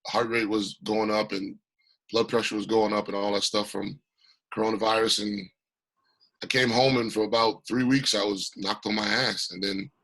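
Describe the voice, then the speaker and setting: Raspy-voiced man, passionate candidate. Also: fairly low pitch, fairly even intonation, moderate pace, a bit bright, poor audio. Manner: passionate, emphatic. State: recovering from illness. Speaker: congressional candidate, guest. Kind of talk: remote interview.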